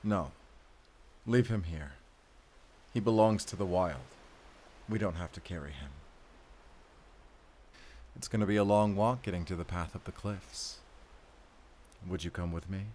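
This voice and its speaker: Man playing a low-voiced, weary wolf hunter. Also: unhurried, pauses often, darkish tone, slight background noise. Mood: weary, bittersweet. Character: weary, compassionate male lifelong wolf hunter. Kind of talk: cinematic audio roleplay acting.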